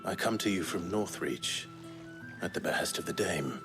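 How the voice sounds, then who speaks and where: A man acting as a brooding young hero from a fantasy game. His voice is very low and gruff, his delivery terse and restrained. Music plays clearly beneath him. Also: normal speed, lightly acted. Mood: terse, guarded. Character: gruff, principled young branded man, quietly brooding wanderer. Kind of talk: video game cutscene acting. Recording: music under the voice.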